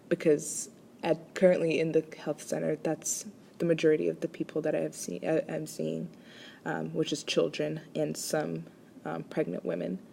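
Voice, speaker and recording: A woman's voice: low voice, creaky, darkish tone, slight background noise. A woman from Rwanda presents a class assignment as a chiropractic student, speaking hesitantly with many ums and restarts.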